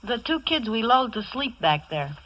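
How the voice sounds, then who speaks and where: An actress playing a soft-hearted, wisecracking Broadway chorus girl in brassy, slangy 1940s radio style, on a vintage recording. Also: on the high side, very animated, normal speed, poor audio. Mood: softened, friendly. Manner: wry wisecracks in streetwise slang.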